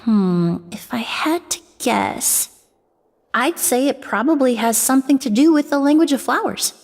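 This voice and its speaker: An actress playing a composed young perfumer and investigator who explains things in a calm, measured way. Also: female voice, sits high. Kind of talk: game character dialogue.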